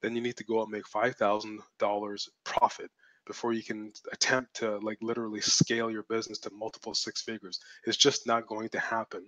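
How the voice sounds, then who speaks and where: A man's voice: lowish voice, level tone, fairly quick, a bit bright, no room sound, low-quality recording. A man hosting a live webinar as a business mentor, talking bluntly and assertively, repeating points for emphasis, his voice strained and cracking. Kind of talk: live-streamed webinar teaching.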